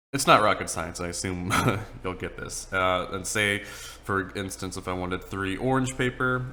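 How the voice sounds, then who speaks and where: A man with a very low voice that still carries a bright, forceful edge gives a relaxed training walkthrough. He jokes along the way and fills pauses with ums and uhs. A faint hum sits behind him. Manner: casual, joking, lots of ums and uhs. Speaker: office trainer. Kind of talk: screen-recorded training walkthrough.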